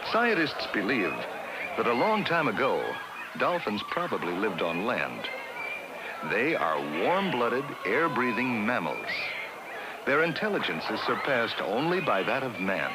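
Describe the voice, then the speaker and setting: A man giving measured, scripted narration for a 1960s classroom educational film. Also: mid-range voice, heavy background noise. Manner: measured, explanatory.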